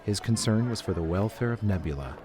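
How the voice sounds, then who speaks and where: Male story narrator, scripted read. Fairly low voice with animated intonation over light music. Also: fairly quick, a crowd in the background.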